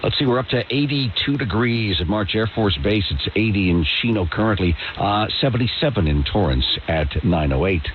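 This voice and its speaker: A man's voice: lowish voice, expressive, normal speed, few pauses. A man anchoring live radio news and introducing reports. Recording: phone-like radio relay with faint noise.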